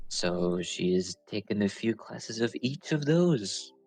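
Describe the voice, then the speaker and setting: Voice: medium pitch, lively intonation, takes it slowly, a little mellow, faint music behind. A podcast guest chatting casually and making dry, teasing remarks.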